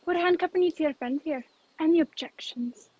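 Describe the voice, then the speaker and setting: A woman's voice: high-pitched, a little fast, lightly acted. A woman playing a bossy, taunting, crooked policeman in an old-fashioned radio play, with muffled video-call sound.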